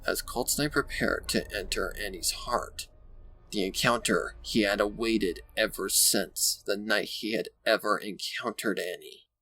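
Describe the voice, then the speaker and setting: A man narrating a dark scripted story in a dramatic, ominous and deliberate manner. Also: on the low side, slight background noise, rough recording.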